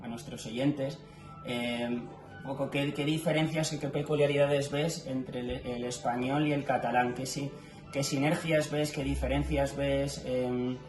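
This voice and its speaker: A man from Madrid hosts a relaxed conversation, often affirming and restarting with little filler sounds. His voice is mid-pitched and echoes in a roomy space, with clear music running underneath. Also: unhurried. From Madrid, Spain. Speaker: host of a language channel. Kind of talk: casual interview conversation.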